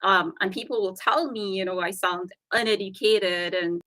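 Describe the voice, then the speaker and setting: A woman with a softened Trinidadian accent, a guest talking earnestly and explaining her ideas in a casual interview chat. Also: medium pitch, normal speed, dry recording, poor audio.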